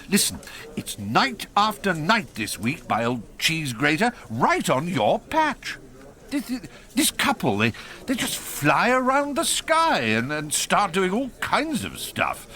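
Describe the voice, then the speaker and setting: An actor playing an excitable, gushing newspaper journalist who stammers through florid slang in a radio comedy. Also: male voice, medium pitch, heavily acted. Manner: stammering, florid, swooping delivery. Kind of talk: radio comedy drama acting.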